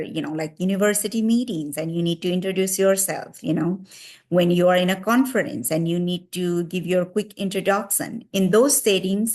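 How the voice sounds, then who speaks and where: Nepali-accented woman, filler-heavy advice talk. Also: lowish voice. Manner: heavy use of fillers "you know" and "like". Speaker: vlogger giving advice.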